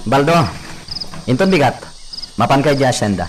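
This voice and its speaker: A man playing a bossy young gentleman in a radio drama, handing out orders briskly. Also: medium pitch, animated, noisy background, natural voice. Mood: commanding. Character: young señorito giving orders to his servant. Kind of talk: Ilocano radio drama acting.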